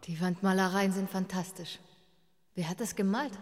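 A woman acting in a radio drama as a direct, inquisitive journalist with a brisk questioning manner. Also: mid-range voice, steady tone, a little mellow, lightly acted. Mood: curious. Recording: reverberant, with clear background noise.

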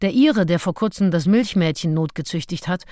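A woman's voice: on the low side, expressive, brisk, warm tone, no room sound, clean studio sound, natural voice. An actress playing a passionate, self-questioning Englishwoman of the early 1800s who confides her diary in an intimate, introspective way in a radio play. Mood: disapproving. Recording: music behind the voice. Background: music with drums.

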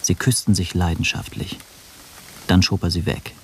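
Low-voiced brooding man narrator. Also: moderate pace, vocal fry, slight background noise. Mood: measured, brooding. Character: anxious, scheming male first-person narrator, brooding over suspicions. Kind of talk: German radio play narration, inner monologue.

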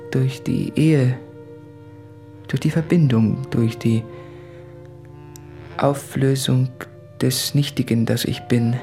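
A man racked by self-doubt, confessing to his beloved in a radio drama. His voice has a gravelly creak and moves deliberately through brooding, literary monologue. Music plays softly beneath him. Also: medium pitch. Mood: tormented, brooding. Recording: music under the voice.

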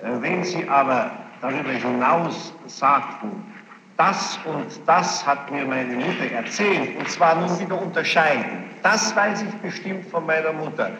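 A man formally questioning a witness as a judge, probing and insistent, with halting phrasing and a gravelly voice. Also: a little high, lively intonation. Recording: noisy, muffled archival tape, echoey hall.

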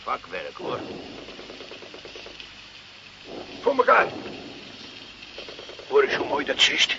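An actor playing a cocky, reckless dynamite enthusiast in a radio drama, boastful and excitable. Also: male voice, medium pitch, measured pace, vocal fry, heavily acted. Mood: cocky, assured. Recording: muffled, noisy old radio play recording.

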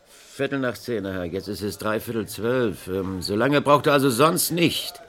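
An actor playing a methodical police officer taking down a report with halting questions in a 1970s radio crime drama. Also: male voice, lowish voice, expressive, fairly bright tone. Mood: methodical, attentive. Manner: halting, methodical, repeating details back.

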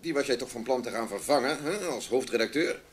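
An actor playing an imposing, jovial business boss in a radio drama, expansive, commanding and ironically flattering. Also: male voice, mid-range voice, brisk, lightly acted. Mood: probing, needling. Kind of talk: naturalistic Dutch radio drama.

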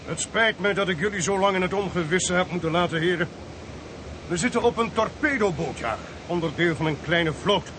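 An actor playing a blunt, commanding military intelligence chief in a radio drama, speaking in clipped, authoritative phrases. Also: male voice, high voice, brisk, background noise, lightly acted. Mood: brisk commanding. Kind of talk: Dutch radio drama acting.

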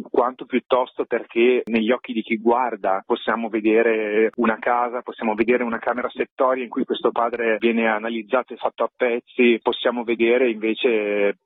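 A man's voice: medium pitch, breathy edge, no echo. A man giving a radio interview as a theatre maker, reflective and articulate in long flowing sentences. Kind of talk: radio interview, explaining a stage production. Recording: phone-like narrow band, radio interview.

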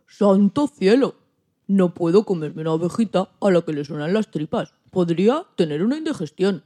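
A woman acting a grumpy, hungry old male wolf with a soft heart in a children's radio play, theatrical and full of exclamations. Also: on the low side, expressive, normal speed. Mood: exasperated.

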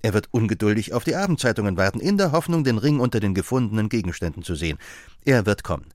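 A self-assured detective lays out his reasoning step by step in a lowish, even voice as a man reads him. Brisk and certain throughout. The sound is dry and close. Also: no echo, close to an everyday voice. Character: sharp-witted male detective, confident and explaining.

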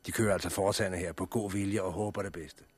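A man's voice: low voice, fairly even intonation, a little mellow, slightly rough, no echo. A man acting in a 1960s radio drama as a blunt, sardonic, swearing survivor of a catastrophe. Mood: scolding. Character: blunt, cynical, swearing male survivor of a plant apocalypse.

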